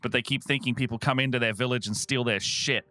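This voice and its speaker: A man's voice: medium pitch, fairly even intonation, fast, pushed and bright. A man chatting casually as a panelist on a podcast. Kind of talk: casual chat.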